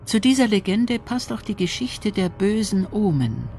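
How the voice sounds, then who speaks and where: A woman's voice: mid-range voice, expressive, moderate pace, music in the background. A woman reading scripted documentary narration.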